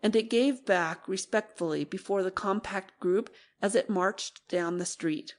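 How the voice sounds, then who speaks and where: Mid-pitched female audiobook narrator. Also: a little slow.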